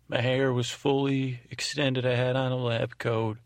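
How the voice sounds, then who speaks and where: A man with a gravelly, creaky voice and an average pitch rambles through an improvised bedtime monologue. He halts, repeats himself and lets thoughts trail off, recorded very dry with no room sound. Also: level tone, no room sound. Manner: meandering, halting, repetitive, trailing off. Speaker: podcast host.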